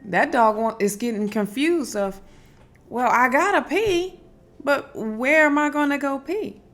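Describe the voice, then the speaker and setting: Slow-talking woman giving spiritual advice. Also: high-pitched, expressive. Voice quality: strong, loud voice. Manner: unhurried, repetitive, emphatic, with fillers and restarts. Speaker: spiritual wellness host. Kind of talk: casual spiritual advice talk.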